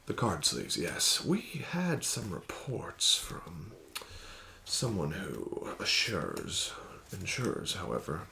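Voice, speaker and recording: A man doing an ASMR roleplay as a casino dealer, formal and courteous, slipping into soft whispers. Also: deep voice, huge pitch swings, slow, gravelly, slight background noise.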